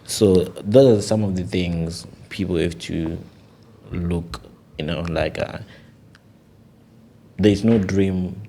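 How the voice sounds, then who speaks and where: A man's voice: low voice, steady tone, takes it slowly, warm tone, slight background noise. A young man talking earnestly and with animation in a casual podcast conversation, often saying "you know".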